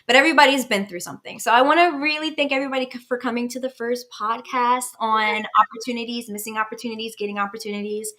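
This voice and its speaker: A woman's voice: on the high side, fairly even intonation, fast talker, hardly any pauses, sharp tone. A woman in her late twenties hosting a casual podcast chat in a candid, personal way. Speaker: podcast host.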